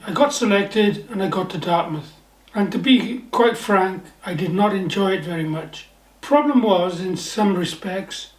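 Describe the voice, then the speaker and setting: An older man from the Welsh Valleys with a Welsh accent, an author telling stories from his past in a relaxed, wry, anecdotal way. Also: high-pitched. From South Wales Valleys. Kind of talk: personal storytelling to camera. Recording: echoey room.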